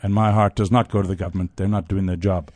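A man's voice: deep voice, fairly even intonation, fast, a little mellow, dry recording. A man hosting a casual radio talk show, giving his opinions bluntly and emphatically. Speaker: radio host.

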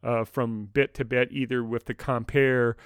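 A man hosting a podcast discussion, talking in a measured way with thoughtful pauses. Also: medium pitch, little pitch movement, clean tone, no echo, clean studio sound. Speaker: podcast host.

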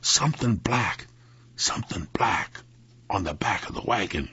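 A storyteller as a jittery, high-strung Southern country man. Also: big pitch swings, takes it slowly, a bit bright, husky, no echo, highs cut off, exaggerated voice. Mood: panicked, feeble. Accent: rural Southern US. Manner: quavering, folksy, panicky. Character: frightened, trembling country man, wary of ghosts. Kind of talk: dramatic storytelling.

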